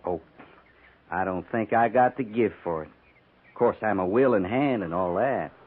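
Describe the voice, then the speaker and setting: An actor playing a folksy frontier soldier who goads coolly and slyly in a 1950s western radio drama. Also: male voice, fairly low pitch, moderate pace, close to an everyday voice. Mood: feigned humility. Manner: folksy, slyly goading understatement. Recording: thin, tinny 1950s radio recording.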